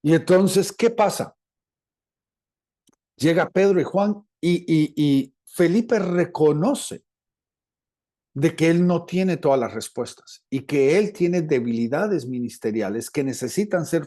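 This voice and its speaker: A man with a Latin American Spanish accent preaching a teaching sermon, deliberate and repeating phrases for emphasis. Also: a little high, lively intonation, mellow. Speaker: preacher, apostle.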